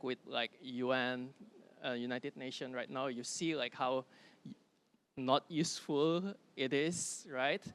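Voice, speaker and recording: A young man speaking on an art panel in a medium-pitched voice. He searches for his words as he goes, with many fillers along the way. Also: fairly bright tone, clean tone. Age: young adult. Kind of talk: panel discussion.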